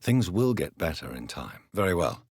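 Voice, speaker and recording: Brooding gentleman vampire doctor, British accent, husky, expressive swings in pitch, formal and articulate, dramatic video game delivery. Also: no echo, clean studio sound, full character voice. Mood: courteous, composed. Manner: formal, articulate, measured, theatrical. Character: gentlemanly vampire physician, grave, brooding, courteous, probing.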